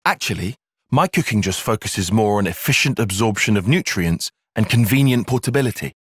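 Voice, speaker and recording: A young tactician for a video game, dryly critical and analytical. His voice has a slightly husky edge and a lively, shifting pitch. The diction is precise and formal, and the sound is dry and close. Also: fairly quick, no echo. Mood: dry, measured. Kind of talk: video game cutscene voice acting.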